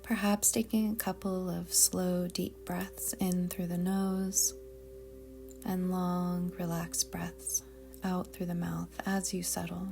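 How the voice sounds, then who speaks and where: A woman guiding a yoga nidra meditation in a soft, breathy, calm and deliberate voice that often trails toward a whisper. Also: fairly low pitch, over music. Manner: calm, soothing, gentle, deliberate. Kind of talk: slow guided meditation narration.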